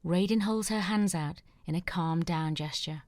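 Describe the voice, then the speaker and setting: Woman narrator, low voice, stage directions, even measured reading. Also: no room sound.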